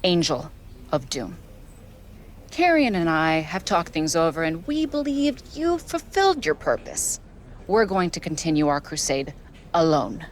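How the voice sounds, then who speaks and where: An actress playing a solemn, menacing female wrestler from a cult-like faction in a video game, speaking in slow, portentous phrases. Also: low-pitched, big pitch swings, slight background noise. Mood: cold, solemn. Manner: slow, portentous, deliberate delivery. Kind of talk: video game cutscene acting.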